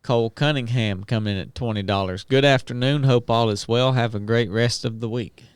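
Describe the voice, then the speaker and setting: A man reads through donor names and amounts on a casual podcast. His voice is clear and resonant, recorded dry and close. He keeps a steady, list-like reading rhythm. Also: mid-range voice, fairly quick, no echo.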